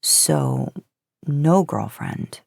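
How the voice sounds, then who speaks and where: A woman voicing a laid-back, curious young male college student in a story, quiet and understated. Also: low voice, big pitch swings, very slow, creaky, husky, no room sound, clean studio sound, exaggerated voice.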